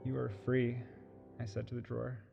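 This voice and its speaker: Man acting a brooding, creaky-voiced obsessive narrator. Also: mid-range voice, completely flat delivery, dull audio. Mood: grim exultation. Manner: brooding, deliberate, melodramatic. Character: obsessive, increasingly unhinged man confessing his fixation. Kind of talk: scripted first-person radio drama monologue. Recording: loud music under the voice. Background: music.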